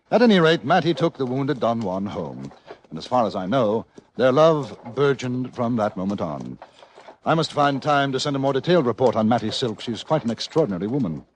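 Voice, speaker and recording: An actor playing an urbane, formal British newspaper correspondent narrating an old-time radio western. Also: male voice, mid-range voice, close to an everyday voice. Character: refined British newspaper correspondent in the Wild West. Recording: noisy old radio recording.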